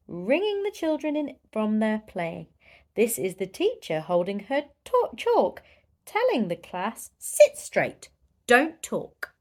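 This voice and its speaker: A woman reading a children's picture book aloud with dramatic, emphatic storytelling. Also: fairly high pitch. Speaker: volunteer story reader.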